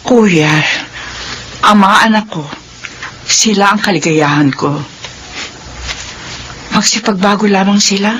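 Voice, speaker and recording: A woman's voice: medium pitch, takes it slowly, long pauses, a bit bright. An actress playing a devoted middle-aged widowed mother in a classic radio drama. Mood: earnest. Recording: noisy old radio drama recording.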